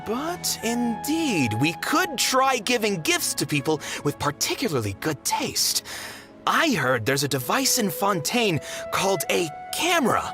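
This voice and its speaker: Proper, showy theater boss, swoopy tone, music behind. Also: male voice, high voice, very animated, measured pace. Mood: earnest. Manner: formal, declamatory. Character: older man, theater troupe master, dignified and stern. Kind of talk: video game voice acting. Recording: game audio with music behind.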